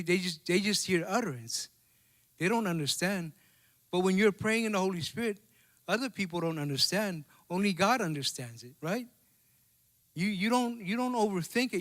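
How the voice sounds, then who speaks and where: A man's voice: high voice, a bit bright. A man with a Samoan accent preaching a bilingual sermon at a church service, conversational and checking in with the congregation. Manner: conversational preaching with tag questions. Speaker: pastor.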